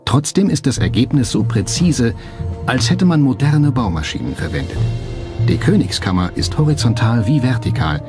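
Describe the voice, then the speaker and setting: A man reading scripted documentary narration in a measured, factual manner. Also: mid-range voice, big pitch swings. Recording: music bed under the voice. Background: background music.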